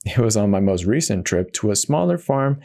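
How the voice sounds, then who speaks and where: A man's voice: fairly low pitch, sing-song, a little fast, dark tone. A man voicing a self-justifying, conversational narrator who casually confesses in a first-person dramatic horror reading. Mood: uneasy recounting. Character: confessional devout man turned self-eater, casually rationalizing.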